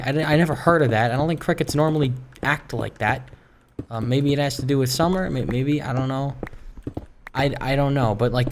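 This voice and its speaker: A gaming streamer chatting casually and hesitantly in a wry, rambling way over gameplay, recorded in a room at home. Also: mid-range voice. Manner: rambling, hesitant, self-interrupting. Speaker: gaming video creator and streamer. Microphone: streaming microphone. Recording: home microphone with clear background noise.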